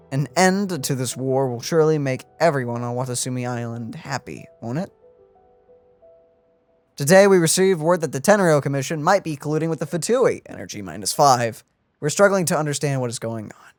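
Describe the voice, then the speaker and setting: A man's voice: fairly high pitch, lively intonation, normal speed, dry recording. A young man streaming a video game, reading on-screen text aloud and commenting casually, with game music behind him. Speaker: gaming streamer.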